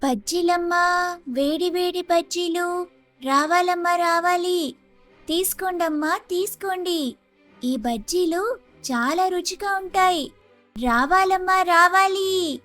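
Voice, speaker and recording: A sharp-tongued young wife in a Telugu cartoon moral story , voiced by a woman, pitched high. She sounds aggrieved and sarcastic, with faint music behind her. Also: takes it slowly, long pauses, full character voice. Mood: calling out. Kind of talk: animated moral story dubbing.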